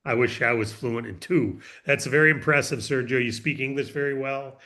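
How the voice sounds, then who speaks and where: A man's voice: mid-range voice, fairly even intonation, moderate pace, bright, forceful tone. A man presenting and explaining in a friendly way, answering questions on a business video call. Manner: friendly, explanatory, talks in long runs.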